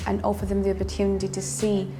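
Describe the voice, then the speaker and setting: A woman's voice: medium pitch, monotone, quick pace, noisy background. A woman, explaining her work as a teacher in an interview.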